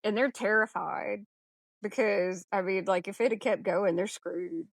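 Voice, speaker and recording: A woman's voice: mid-range voice, steady tone, unhurried, vocal fry, no room sound. A woman co-hosting a podcast, discussing a film casually in a blunt, slangy, informal way. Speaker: podcast co-host.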